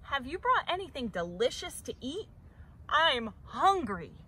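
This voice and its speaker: Woman voicing a high, whiny, dramatic storybook bird. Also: big pitch swings, measured pace, sharp tone, slight background noise, full character voice. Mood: hungry, asking. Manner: exaggerated, theatrical complaining.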